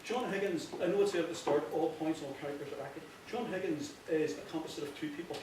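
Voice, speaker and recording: A man with a Northern Irish accent giving an informal, earnest speech, often halting and repeating himself. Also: on the high side. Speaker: playwright giving a thank-you speech. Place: echoey indoor hall before an audience. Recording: very echoey, distant, noisy with clicks.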